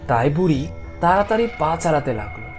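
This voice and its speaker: A man's voice: fairly high pitch, big pitch swings, fairly quick, soft background music. A man narrating a scripted fairy tale for a cartoon as a storyteller.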